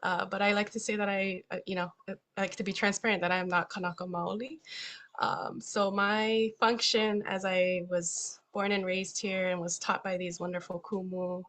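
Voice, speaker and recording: A woman's voice: mid-range voice, little pitch movement, moderate pace, fairly bright tone. Chatty woman presenting on a video call. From Hawaii. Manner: chatty, with frequent ums and local Hawaiian words. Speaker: stewardship coordinator presenting a webinar. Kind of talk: casual online presentation. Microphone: computer mic on a video call.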